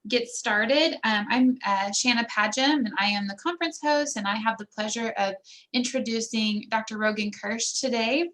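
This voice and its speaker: A woman's voice: on the high side, normal speed, a bit bright. Woman host reading a speaker introduction. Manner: reads a bio, with some ums. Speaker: conference host.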